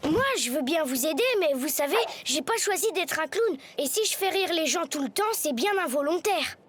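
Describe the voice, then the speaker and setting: A woman's voice: high-pitched, lively intonation, normal speed. A woman voicing an innocent, good-natured schoolboy hero in a French cartoon, earnest and polite in manner. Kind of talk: French animated cartoon acting. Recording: loud music behind the voice.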